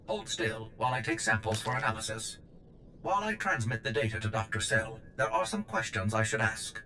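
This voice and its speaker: An actor playing a polite, precise, matter-of-fact medical droid in a sci-fi video game. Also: male voice, fairly low pitch, fairly even intonation, fairly quick, noisy background, lightly acted. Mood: clinical. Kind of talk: acted video game dialogue.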